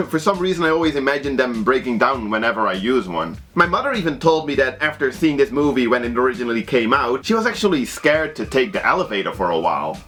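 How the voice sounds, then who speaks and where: A Dutch-accented man, a native Dutch speaker, talks through horror films in English. His mid-range voice swings widely and lively in pitch, with dry jokes and wry asides. Soft music plays faintly underneath. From Netherlands. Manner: animated, wry asides, self-deprecating humor. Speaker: horror movie reviewer. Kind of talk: scripted movie review commentary.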